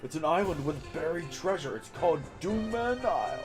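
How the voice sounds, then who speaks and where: Guy doing a loud stubborn old sea captain from a game, pitched up a bit, music playing behind. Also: expressive, normal speed, natural voice. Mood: proud, brash. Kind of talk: reading game dialogue aloud in character.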